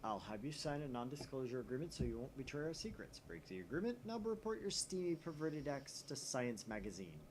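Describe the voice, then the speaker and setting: Man acting young mad scientist, grandiose, bossy, swooping pitch, visual novel character, faint background noise. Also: big pitch swings, fairly quick, hardly any pauses, close to an everyday voice. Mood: commanding. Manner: pompous, dramatic declarations.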